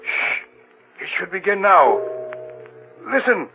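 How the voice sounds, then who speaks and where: An actor in an old-time radio horror drama playing an intense, commanding leader of an occult ritual, solemn and incantatory. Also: male voice, sits high, sing-song, takes it slowly, vocal fry, full character voice. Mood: tense, hushed. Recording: tinny old radio with loud music.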